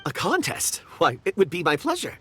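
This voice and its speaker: A man's voice: a little high, huge pitch swings, moderate pace, pushed and bright, a little hiss or hum, heavily acted. A man voicing a genial, absent-minded young rock enthusiast in a video game, polite and eloquent. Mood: eager, amused. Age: young adult. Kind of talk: video game dialogue acting.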